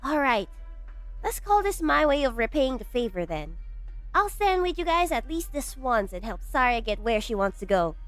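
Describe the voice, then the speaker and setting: A woman voicing a playful, excitable scientist director in an amateur fan dub, talking in an animated, teasing way. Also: high-pitched, moderate pace, dry recording, soft background music.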